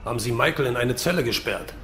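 A man's voice: mid-range voice, fast talker, bright, forceful tone, faint music behind, lightly acted. A man acting a smug, menacing villain in command of a military base in an audio drama.

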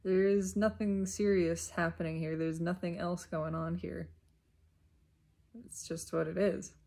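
A woman speaks in an unhurried, reflective monologue, as if thinking aloud. Her voice is husky with a lot of fry at the edges. She breaks her thoughts into short phrases and repeats them deliberately. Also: lowish voice, little pitch movement, a little mellow. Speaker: self-reflective spiritual vlogger. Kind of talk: unscripted reflective spiritual monologue.

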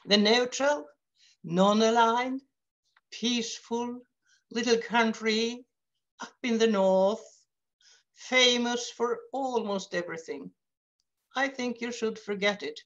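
Older Swedish-born woman, slow and emphatic over a muffled headset call line. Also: on the high side. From Sweden. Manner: slow, emphatic, wandering phrasing. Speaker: webinar speaker. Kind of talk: unscripted talk on video call. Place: home room, indoors. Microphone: headset on video call.

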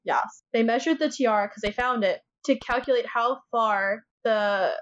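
A woman podcast host with a somewhat high voice tells a story casually. She rambles, jokes and swears along the way. The sound is a little muffled and lo-fi. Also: normal speed. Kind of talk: casual conversational true-crime storytelling.

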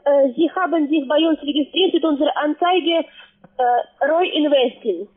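A high-voiced woman with a foreign accent works through a halting phone sales pitch. She is a non-native speaker with broken grammar. The line is thin and tinny, like a cheap call-center connection. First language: not German.

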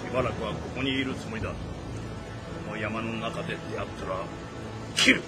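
A man's voice: on the low side, a little fast, lightly acted. A man playing a proud, grim exiled warrior general in a terse, commanding, dramatic style. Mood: grim, cool. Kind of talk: dramatic audio drama acting. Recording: loud music behind dialogue.

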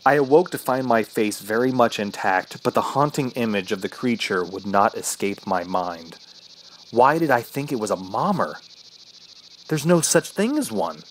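An actor playing an injured, thirsty trapped man, narrating his ordeal in a brooding, halting, dramatic way for a horror radio play. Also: fairly low pitch, lively intonation, rough recording. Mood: haunted.

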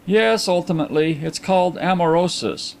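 A man voicing a placid, self-assured, good-humoured gentleman in an audiobook, with a suave and courteous manner. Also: high-pitched, moderate pace, vocal fry, voice pushed up. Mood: tranquil. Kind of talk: audiobook character acting.

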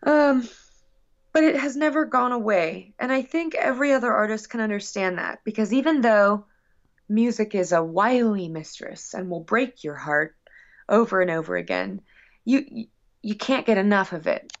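A woman's voice: mid-range voice, animated, normal speed, dull audio. A woman musician being interviewed casually, talking in a long-winded, rambling, earnest way. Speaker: guest musician.

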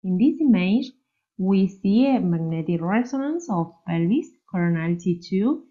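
Woman presenter, slow and halting, dark muffled lo-fi sound. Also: medium pitch, lively intonation. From Argentina. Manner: halting, careful, non-fluent English. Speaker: medical resident presenting a case. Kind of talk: reading a case presentation.

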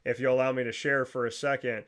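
A chatty man in his thirties hosts an interview from a small home office, talking into a studio mic. His voice is smooth and resonant, and his questions ramble with many restarts. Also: medium pitch, little pitch movement, quick pace, a bit bright. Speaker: show host. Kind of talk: casual video-call interview. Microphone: studio mic with headphones.

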